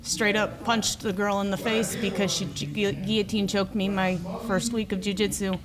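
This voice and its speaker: A woman's voice: medium pitch, level tone, moderate pace, low-quality recording. A woman in her thirties, a podcast guest telling a personal story in an earnest, candid way. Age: 30s. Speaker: podcast guest, jiu-jitsu athlete. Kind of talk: casual podcast chat. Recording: loud crowd chatter in room.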